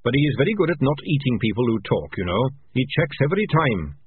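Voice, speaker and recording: A gentle, earnest young male rat, played by a man in a storybook reading, with a lively, husky tone. The sound is thin and phone-like. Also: mid-range voice, fast talker, no echo, voice pushed up. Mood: kindly.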